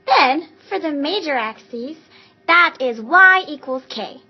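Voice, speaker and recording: A woman's voice: high voice, lively intonation, slow pace, highs cut off. A woman presenting a scripted maths lesson in a recited, step-by-step way.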